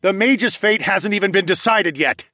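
A man's voice: medium pitch, lively intonation, fairly quick, a bit bright, no echo, studio quality, voice pushed up, lightly acted. A man playing a defiant, sardonic male hero who argues and snarls in a fantasy audiobook. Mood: sardonic, firm. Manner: snarling, mocking, argumentative.

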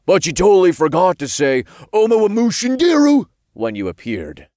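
A tiny male imp with a high, strained, whiny voice. He is a crude, wisecracking sidekick in a fantasy audiobook, slangy and exclamatory, with pitch that leaps around. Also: very animated, moderate pace, a little mellow, no room sound, studio quality. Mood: excited teasing.

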